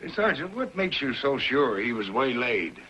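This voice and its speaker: Folksy helpful trading post guy, real animated, dull hissy 50s tv audio. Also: medium pitch, moderate pace. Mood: folksy, surprised. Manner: plainspoken, folksy. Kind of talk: 1950s TV adventure acting. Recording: dull, noisy vintage TV soundtrack.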